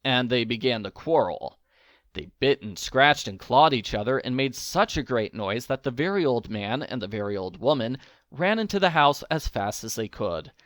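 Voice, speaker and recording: A man reading a children's storybook aloud in an expressive narrating style. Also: medium pitch, moderate pace, no room sound, clean studio sound. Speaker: storybook reader.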